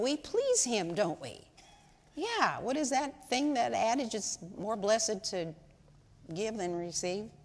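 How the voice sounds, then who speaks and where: A woman's voice: on the high side, slight background noise. Older woman, lay speaker, chatty and folksy, audience questions, lapel mic, echoing sanctuary. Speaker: lay speaker at women's service. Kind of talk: informal church talk to a congregation. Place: large church sanctuary. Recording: long hall reverb in sanctuary.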